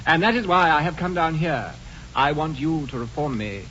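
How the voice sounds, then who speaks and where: Flippant, animated English dandy. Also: male voice, fairly high pitch, normal speed. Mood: playful. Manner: airy, breezily charming. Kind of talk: 1940s radio comedy acting. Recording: dull, noisy 1940s radio broadcast.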